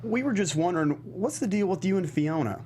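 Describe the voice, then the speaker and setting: A man's voice: sits high, expressive, fairly quick, darkish tone, slight background noise. A man at a comedy table read playing a kindly, awkward older intern in a mild, hesitant way.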